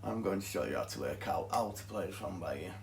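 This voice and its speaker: Low, gravelly Yorkshire man. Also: expressive, fast, darkish tone, a little hiss or hum. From Yorkshire, England. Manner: plain, methodical explaining. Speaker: guitar teacher. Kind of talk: guitar tutorial. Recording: echoey room with guitar playing. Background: guitar music.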